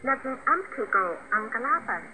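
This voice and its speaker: A woman's voice: a little high, big pitch swings, moderate pace, bright, forceful tone. A woman presenting a radio program in scripted storytelling narration. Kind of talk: scripted narration of a sports biography. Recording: noisy shortwave radio broadcast.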